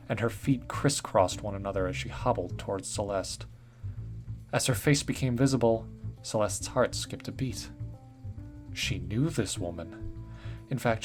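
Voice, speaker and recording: A man reading a dark piece of fiction aloud as a scripted narration, measured and deliberate, letting phrases slow and fall away at their ends. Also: fairly low pitch. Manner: measured storytelling with slowed, dropped-off phrase endings. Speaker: story narrator. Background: faint guitar music.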